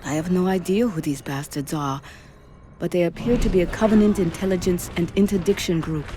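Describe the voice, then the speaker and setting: An actor playing a damaged shipboard artificial intelligence, speaking in a measured, precise and dryly witty way in an audio drama. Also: mid-range voice, background noise, natural voice. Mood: dry, grave. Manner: measured, precise, dry wit. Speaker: ship's AI character. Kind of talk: dramatised science fiction audio story.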